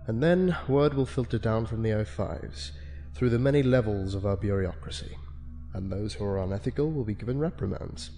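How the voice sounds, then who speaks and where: A man plays a senior official of a shadowy ethics committee in an audio drama. The voice is fairly low and very bright, calm but menacing, with deliberate, condescending authority. Music plays clearly behind it. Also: lightly acted. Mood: cool, ominous. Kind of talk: dramatic scripted monologue for an audio log.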